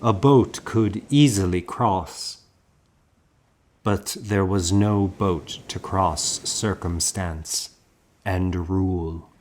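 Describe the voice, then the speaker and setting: A man reading a historical novel aloud in a measured, solemn, first-person storytelling manner. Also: deep voice. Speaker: audiobook narrator.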